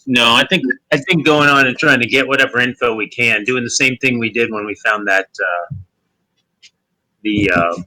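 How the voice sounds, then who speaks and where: A man with a very bright, forceful voice of medium pitch. He talks casually, in the loose conversational style of a player at a tabletop game. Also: moderate pace, low-quality recording.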